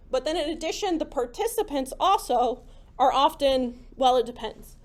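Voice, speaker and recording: High-voiced woman lecturing, slightly hoarse. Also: a little mellow. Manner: conversational, many "like" and "right?" fillers. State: slightly hoarse, losing her voice. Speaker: psychology lecturer. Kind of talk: classroom lecture. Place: lecture room.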